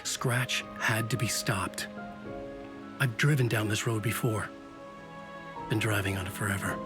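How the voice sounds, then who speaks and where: A man playing a guilt-ridden, resolute writer in a video game, speaking gravely and wearily with music behind him. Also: on the low side, steady tone, measured pace, natural voice. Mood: brooding, guilt-ridden. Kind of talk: dramatic game dialogue and brooding first-person narration.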